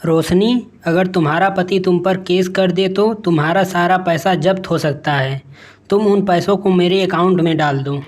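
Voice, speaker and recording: A man's voice: high voice, steady tone, normal speed, lightly acted. A man voicing a scheming young lover in a cartoon moral story, speaking persuasively. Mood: coaxing.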